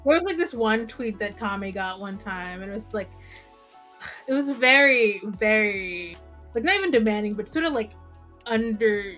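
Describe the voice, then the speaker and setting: A woman's voice: mid-range voice, animated, normal speed, a bit bright. A woman hosting a casual podcast, talking in a rambling, informal way with lots of "like". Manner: rambling, heavy use of "like". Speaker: podcast host. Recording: music behind the voice.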